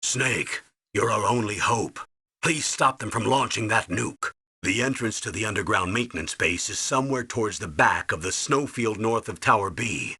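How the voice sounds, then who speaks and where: An actor playing a stern, urgent military colonel who gives mission orders in a commanding, clipped way in a video game. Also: male voice, fairly low pitch, animated, moderate pace, sharp tone, slightly rough, dry recording, exaggerated voice. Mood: grave, insistent. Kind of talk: dramatic video game radio briefing.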